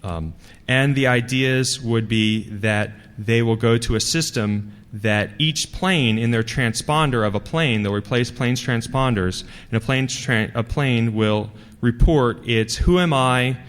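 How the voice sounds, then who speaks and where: Halting male conference speaker in a reverberant hall. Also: mid-range voice, a bit bright, clear, resonant tone. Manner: halting, rambling, conversational delivery. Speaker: security researcher giving a conference talk. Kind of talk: informal technical presentation to an audience. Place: large conference hall, speaking to a live audience.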